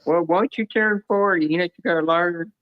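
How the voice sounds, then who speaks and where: A man chatting casually, telling stories haltingly with many pauses and ums. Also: high voice. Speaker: panel guest. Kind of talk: casual chat. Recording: dull lo-fi line.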